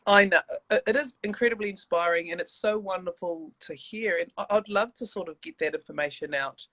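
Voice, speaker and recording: A woman from New Zealand with a New Zealand accent, chatting as an interview guest on a video call, warm and rambling with many restarts. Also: fairly low pitch, brisk, no room sound. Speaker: guest, church leader. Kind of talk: casual interview chat.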